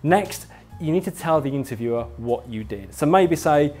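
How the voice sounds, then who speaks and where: A man's voice: fairly high pitch, expressive, moderate pace, darkish tone, faint music behind. A man presenting a scripted lesson as an instructor, talking directly to the listener in an emphatic, encouraging, explanatory way. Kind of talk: scripted instructional narration addressed to viewer.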